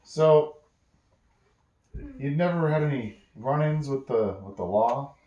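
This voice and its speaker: A man's voice: mid-range voice, slow, dark tone, dull audio, pitched above the performer's own voice, lightly acted. A man voicing a cautious, evasive schemer in a bedtime story, hesitant and roundabout, hinting at what is wanted. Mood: probing, cautious. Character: evasive, cautious male schemer probing for information. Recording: roomy, echoey home recording.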